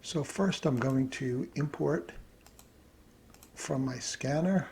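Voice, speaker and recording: A man's voice: mid-range voice, slow pace. A man giving a casual tutorial narration, thinking aloud as he works at his computer. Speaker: hobbyist presenter. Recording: steady background noise, keyboard clicks.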